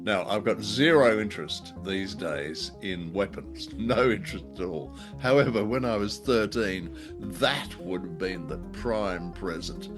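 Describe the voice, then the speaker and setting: A middle-aged man co-hosting a podcast in casual chat, speaking in a hesitant, halting way. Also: deep voice, lively intonation, music in the background. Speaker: podcast co-host. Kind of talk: casual scripted-topic chat.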